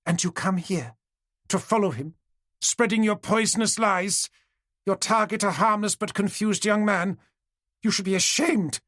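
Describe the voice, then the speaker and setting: A man voicing a glamorous middle-aged woman who turns hysterical and scornful, raising his voice to breathless, shrill cries. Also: measured pace, fairly bright tone, dry recording, clean studio sound.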